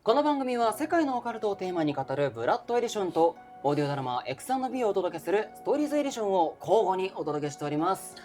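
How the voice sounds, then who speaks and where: A man's voice: high-pitched, sing-song, rapid-fire, few pauses, a little mellow, soft background music. A man hosting a podcast, chatting in a polite, playful, bantering way. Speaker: podcast host and voice actor.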